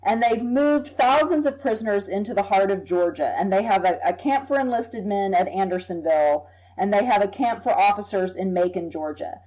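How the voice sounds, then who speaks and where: A woman, a history professor, explaining at length as a podcast guest, measured and thoughtful, sometimes repeating words as she thinks. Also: medium pitch, lively intonation, mellow, rough recording. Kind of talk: expert explaining in an interview.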